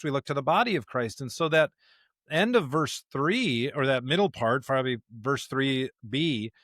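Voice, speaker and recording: A man's voice: mid-range voice, a bit bright, dry recording, clean studio sound. A man co-hosting a conversational podcast discussion of scripture, speaking earnestly and repeating phrases for emphasis. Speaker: podcast co-host.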